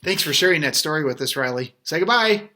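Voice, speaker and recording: A man's voice: a little high, animated, fairly quick, bright, forceful tone. A man chatting casually and playfully as he comments on a story being read aloud.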